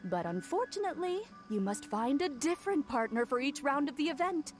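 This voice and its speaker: A woman's voice: high-pitched, sing-song, brisk. A woman playing a courteous but firm event organiser in a video game cutscene, speaking formally and explaining. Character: polite female festival organiser, courteous and firm. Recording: game music behind the voice.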